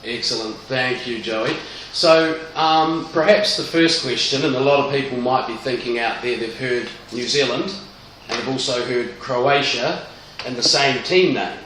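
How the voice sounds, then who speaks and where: A man's voice: medium pitch, measured pace, a bit bright, a little hiss or hum. A man hosting a radio interview, asking long, rambling questions. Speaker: radio host. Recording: echoey room, mic at a distance.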